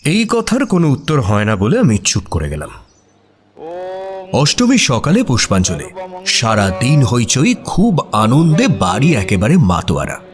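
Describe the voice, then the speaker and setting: A man's voice: mid-range voice, wildly swooping pitch, a little slow. A man playing the detective's curious, amused companion, narrating a scripted radio mystery story in a dramatic style. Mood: vivid storytelling.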